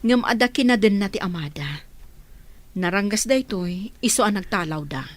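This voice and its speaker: A woman's voice: mid-range voice, lively intonation, normal speed, close to an everyday voice. A woman playing a concerned, caring guardian figure in an Ilocano radio drama. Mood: worried. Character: concerned, caring woman looking after orphaned children.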